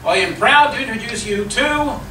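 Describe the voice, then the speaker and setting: A man's voice: extremely high pitch, expressive, moderate pace, pushed and bright, full character voice. A man playing a proud, ceremonious church committee member in an amateur radio comedy. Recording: roomy, distant live recording, faint noise.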